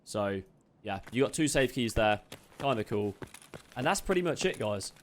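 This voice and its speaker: Man, gameplay guide narration, mid pitch, upbeat chatty asides, slightly chesty, faint background hiss. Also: animated, normal speed. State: slightly chesty, occasional cough. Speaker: game streamer giving a loot guide. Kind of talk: casual gameplay walkthrough narration.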